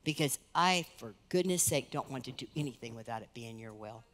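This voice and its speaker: A woman's voice: a bass voice, a little fast. Middle-aged lady pastor preaching, earnest and chatty, big church room with long echo. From United States. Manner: earnest, conversational preaching with lively rises and soft drops. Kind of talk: sermon. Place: large church stage, indoors. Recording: reverberant church hall.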